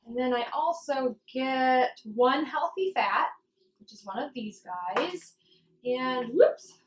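A woman's voice: high voice, takes it slowly, highs cut off, a little hiss or hum. A young woman talking in a chatty, informal way, giving a casual how-to vlog in her kitchen. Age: young adult. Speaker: vlogger and fitness coach. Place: home kitchen, indoors. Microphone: camera across the counter. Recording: echoey kitchen, away from mic.

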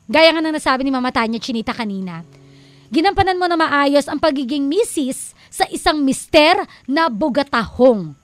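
Woman, filipino accent, radio co-host, preachy yet teasing, high voice, dry close sound. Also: animated, normal speed, no echo. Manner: preachy and teasing, quotes scripture, laughs often. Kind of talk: playful radio advice talk. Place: radio studio.

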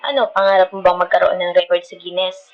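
A woman's voice: lowish voice, little pitch movement, a little fast, highs cut off, soft background music, lightly acted. A woman with a Filipino accent playing a feisty, dramatic but loving girlfriend in an ASMR roleplay, sassy and mixing two languages as she talks. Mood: exasperated, scolding. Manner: sassy, scolding, switching between Tagalog and English.

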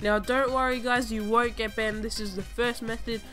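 Higher-voiced girl with a stuffy cold doing a chatty game tutorial voiceover, some quiet music under it. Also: flat delivery, moderate pace. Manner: chatty, repeating instructions step by step. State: sick with a cold, stuffy-nosed. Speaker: gaming channel host. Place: home voice-over over gameplay footage.

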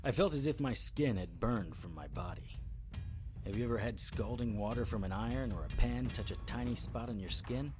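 A man playing a wry, defiant supernatural hero in a radio drama, with a husky voice and dry, noir-like storytelling. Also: mid-range voice, moderate pace, music in the background, lightly acted. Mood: grim, intense. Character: wry, defiant male demon hunter and hero. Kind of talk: radio drama acting and narration.

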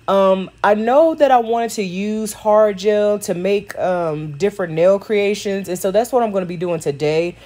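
A woman's voice: mid-range voice, darkish tone. A woman with a Texan accent, chatting casually to viewers as she narrates a nail tutorial. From Texas. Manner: chatty, talks to viewers as y'all and sis.

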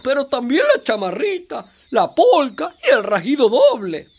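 An Argentine actress playing an expressive island guide who explains things to a child in a children's radio play. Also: female voice, sits high, unhurried, husky, exaggerated voice. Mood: enthusiastic, explaining. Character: animated female island guide telling a child about the river.

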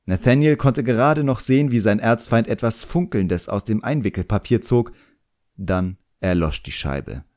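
A man's voice: fairly low pitch, lively intonation, moderate pace, a little mellow. A man narrating a fantasy novel as a scripted audiobook, in a storytelling style.

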